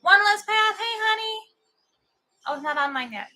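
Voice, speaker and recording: Bright-voiced woman of forty. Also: very high-pitched, expressive, normal speed. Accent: American. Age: 40s. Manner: chatty, reads viewer comments aloud. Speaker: co-host of a livestream watch party. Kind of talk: casual livestream chat.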